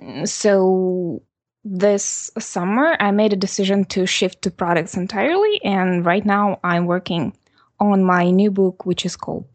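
A woman in her twenties from Russia with a Russian accent, answering interview questions in a steady, businesslike way with soft "mm" pauses. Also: medium pitch, dry recording. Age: 20s. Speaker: guest, designer and author. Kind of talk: interview answers over a call.

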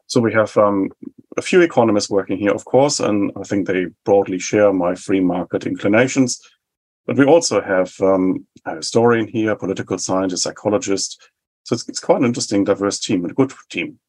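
A man in his forties from West Germany with a German accent, explaining public policy in measured, list-like answers as an interview guest. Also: on the low side, darkish tone, rough recording. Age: 40s. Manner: measured, explanatory, rhetorical lists. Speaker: think tank head, guest.

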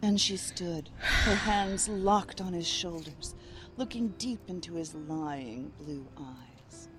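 A woman's voice: lowish voice, slow. A woman playing an audiobook narrator giving a sultry, dramatic reading of a lurid revenge romance. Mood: melodramatic. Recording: loud music under the voice.

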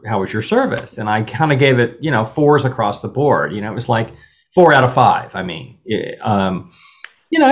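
A man on a panel tells animated stories full of wry, exasperated asides. His voice is mid-pitched. The audio is slightly muffled and lo-fi. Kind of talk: casual panel discussion.

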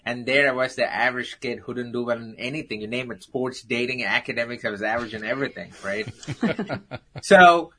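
An Indian-accented man with a bright, forceful voice tells his life story as a guest. He jokes about himself while he narrates. His pitch sits in the middle for a man. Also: fairly quick, a little hiss or hum. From South India. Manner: storytelling, jokey, self-deprecating.

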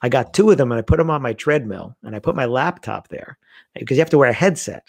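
A man's voice: medium pitch, fast talker, creaky, no room sound. A middle-aged man telling stories in a casual conversation, acting out the lines he quotes. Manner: animated storyteller, acts out quoted lines. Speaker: guest.

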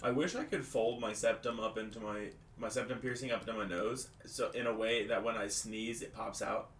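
A man with a low voice co-hosting a casual comedy podcast, chiming in with dry one-liners. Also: steady tone, fairly quick, fairly bright tone, slight background noise. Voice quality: low voice, hard to mic. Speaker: podcast co-host.

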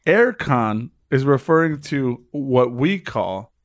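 A man's voice: medium pitch, expressive, slow pace. Laid-back man with dry jokes. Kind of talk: casual chat.

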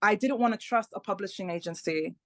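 A Dominican American woman whose first language is Spanish. She talks as an author guest in a casual interview, animated, and often repeats words and asks "right?". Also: mid-range voice, brisk, no room sound, studio quality. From Dominican American, parents from the Caribbean.

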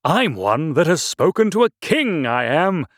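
A man voicing a pompous, touchy egg creature from a storybook, haughty and blustering, with halting stammers. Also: on the high side, big pitch swings, no room sound, studio quality, pitched above the performer's own voice. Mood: grand, self-satisfied. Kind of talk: storybook character reading.

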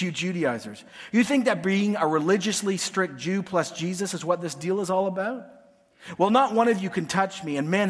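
A man preaching a sermon, emphatic and dramatic, with pauses and swings between hushed and forceful delivery. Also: high-pitched. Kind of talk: sermon, expounding scripture with dramatized paraphrase. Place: large reverberant hall, preaching to a congregation. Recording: long hall reverb.

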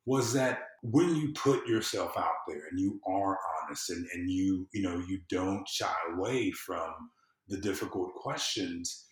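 A man from the US South talking conversationally as an interview guest, in an informal, earnest, halting way with frequent restarts. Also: low voice, reverberant, low-quality recording. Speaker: podcast guest, civic consultant and former candidate. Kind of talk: conversational interview, personal storytelling.

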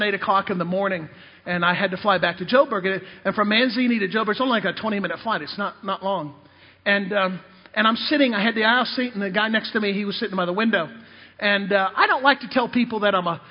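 A man preaching a sermon in a high, husky voice, spinning funny personal anecdotes and checking in with the congregation as he goes. The sound is dull and lo-fi, with long reverb trailing off the walls of a church hall. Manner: storytelling, calls out to the congregation. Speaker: preacher and missionary. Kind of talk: sermon with humorous personal stories.

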